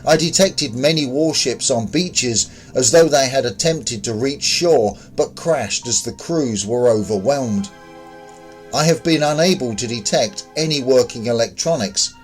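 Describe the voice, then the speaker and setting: A man's voice: mid-range voice, unhurried, faint music behind, close to an everyday voice. A man voicing a detached, curious alien visitor in a story, formal and literal in manner. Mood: detached, explanatory.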